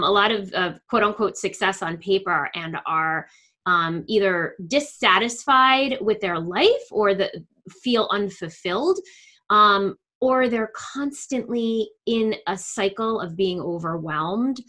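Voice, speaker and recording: A middle-aged woman with a New York area accent, speaking earnestly as an interview guest and pausing often with "um". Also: on the low side, sharp tone. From northern New Jersey. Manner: frequent ums, thoughtful and earnest. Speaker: coach, guest. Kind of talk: conversational interview.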